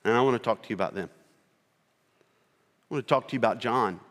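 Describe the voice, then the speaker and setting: A middle-aged man with a rural American accent preaching a sermon as a missionary, earnest and slow, with pauses between short phrases. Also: medium pitch, fairly bright tone. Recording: long reverb of a large hall.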